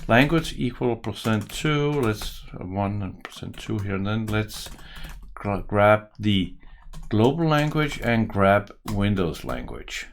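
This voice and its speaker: A man's voice: on the low side, expressive, takes it slowly, a little hiss or hum. A man in his forties from Denmark with a Danish accent, giving a casual coding tutorial and thinking aloud while he types, recorded at a desk in a home office. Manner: thinking aloud while typing, self-correcting. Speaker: programming tutor.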